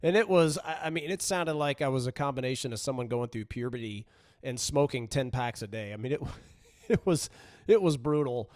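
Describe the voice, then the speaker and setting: Slightly raspy upbeat male podcast host. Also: mid-range voice, normal speed, no room sound, studio quality. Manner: upbeat, energetic, lots of shout-outs. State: recovering from voice loss. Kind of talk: casual podcast monologue.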